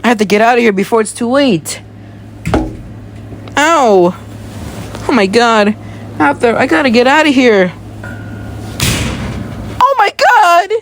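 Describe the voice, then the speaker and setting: An amateur acts out a terrified survivor in a homemade disaster roleplay. The voice leaps high and pleads frantically, repeating exclamations. Faint noise runs through the recording. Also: big pitch swings, a little slow, long pauses, a bit bright. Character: panicked survivor trapped by an attacker.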